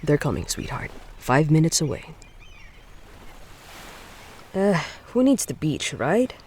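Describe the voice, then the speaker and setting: A caring young boyfriend acted out by a man speaks slowly in a slightly high voice over noticeable background ambience. His delivery is intimate and reassuring, often dropping to a breathy hush, in the manner of a comfort audio roleplay. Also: very animated, a little hiss or hum.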